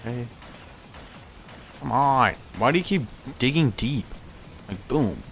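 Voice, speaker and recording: A man's voice: mid-range voice, animated, slow, fairly bright tone, soft background music. Young guy streaming a game at home, voice kinda tired, rambly and chatty, bouncy intonation. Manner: chatty, rambling, self-correcting. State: voice worn out at end of stream. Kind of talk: casual game commentary. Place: home room.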